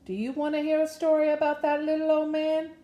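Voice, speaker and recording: A woman's voice: high voice, level tone, brisk, warm tone. A woman reads a children's story aloud in a folksy, dramatic storytelling manner. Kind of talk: animated Cajun folk storytelling. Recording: roomy echo around the voice.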